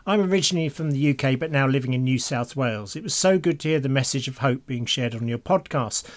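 A man's voice: medium pitch, fast. A man co-hosting a podcast, chatting casually and reading out listener emails. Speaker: podcast co-host.

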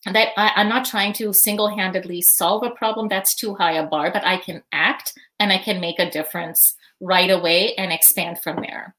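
Thoughtful woman guest, easy laughs. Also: medium pitch. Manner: thoughtful, warm, laughs easily, frequent fillers. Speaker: podcast guest. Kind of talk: casual interview answers.

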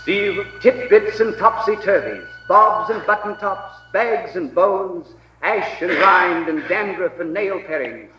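A man's voice: high voice, measured pace, pushed and bright, slight background noise. A man narrating a poetic radio play dramatically, in an old-sounding broadcast recording. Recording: distant, reverberant, dull archive radio recording.

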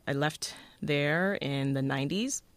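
A woman from Jamaica, raised in Canada, telling personal stories in a casual, expressive, emphatic way as an interview guest. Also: sits low, measured pace, dry recording. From born in Jamaica, raised in Toronto, Canada. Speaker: radio host being interviewed. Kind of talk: casual conversational storytelling.

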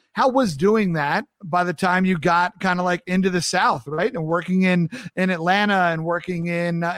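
A man with a New York accent hosts a relaxed interview and talks in animated, rambling bursts full of restarts. His voice sits high for a man. The sound is close and dry, with no room around it. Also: no room sound. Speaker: podcast host. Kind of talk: conversational interview.

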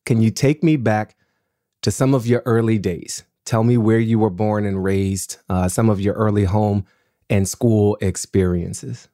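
A man hosting a podcast, reading a scripted introduction and asking interview questions in a measured, earnest way. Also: lowish voice, steady tone, warm tone, no echo, studio quality. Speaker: podcast host.

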